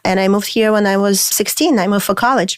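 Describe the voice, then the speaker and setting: A woman in her thirties from Moldova, a lawyer telling her story as a podcast guest in a measured, explanatory way with frequent restarts. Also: mid-range voice, smooth, dry recording, clean studio sound. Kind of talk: podcast interview.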